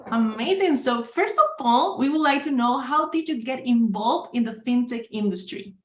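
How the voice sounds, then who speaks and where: Brief: enthusiastic female webinar host on a video call, slightly high voice. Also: lively intonation, normal speed, a little hiss or hum. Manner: upbeat, enthusiastic, with fillers and restarts. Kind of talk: hosting a live online chat.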